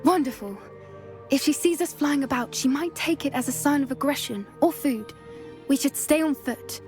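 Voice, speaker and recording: A woman voicing an earnest, determined teenage witch in a video game, with a British accent and an articulate, quietly intense manner. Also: high voice, moderate pace, natural voice. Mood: resolute. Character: earnest, determined teenage witch schoolgirl who loves creatures. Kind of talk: cinematic game dialogue acting. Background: background music.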